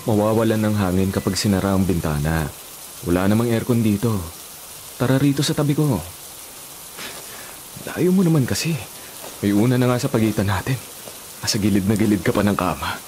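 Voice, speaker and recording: Lowish, coaxing male lover played by an actor. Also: fairly quick, pauses often, darkish tone, a little hiss or hum, natural voice. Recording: music behind the voice. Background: music.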